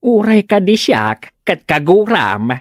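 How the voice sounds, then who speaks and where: A man's voice: high voice, takes it slowly, no room sound, clean studio sound, heavily acted. A man playing an earnest, doting young husband who keeps the peace in a comedy audio drama. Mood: pleading. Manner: expressive, earnest.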